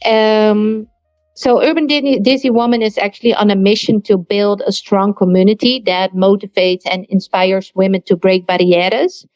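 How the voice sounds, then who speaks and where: A woman in her thirties with a Dutch accent, introducing her project as a guest on an online panel in an earnest, steady way. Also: medium pitch, moderate pace, dry recording, faint music behind. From Netherlands. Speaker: guest, NFT project founder. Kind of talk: casual online panel talk. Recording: dull lo-fi online audio stream.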